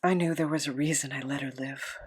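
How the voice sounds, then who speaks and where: A woman's voice: a bass voice, steady tone, brisk, very noisy, pitched below the performer's own voice. A woman voicing a bitter captive monster that narrates its past in a horror audio drama, grim and subdued. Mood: grim, resentful.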